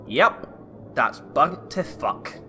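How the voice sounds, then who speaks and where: A man's voice: fairly high pitch, expressive, drawn-out words, over loud music. A man voicing a brusque, money-minded dwarf doctor with a Scottish accent in a comedic fantasy audio drama. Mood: gruff, blunt. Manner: brusque, blunt, crude.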